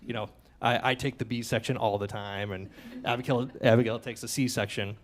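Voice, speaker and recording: A man's voice: mid-range voice, steady tone, fairly quick. A man, a trombonist, explaining ensemble playing at a workshop into a stage mic, thoughtful and hesitant with many fillers. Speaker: trombonist in the band. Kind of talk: workshop talk. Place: community center hall.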